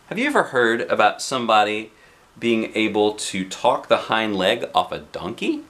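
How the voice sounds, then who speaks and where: A man with a lowish voice reads a picture book for children. His pitch moves around a lot, expressive and playful, and he breaks off for casual asides as a dad would. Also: moderate pace.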